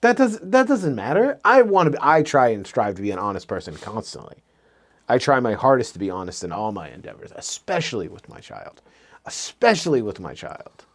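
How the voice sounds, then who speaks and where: A man's voice: medium pitch, sing-song, fairly quick. A young man co-hosting a casual podcast, teasing and argumentative in friendly debate. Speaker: podcast co-host. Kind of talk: casual chat.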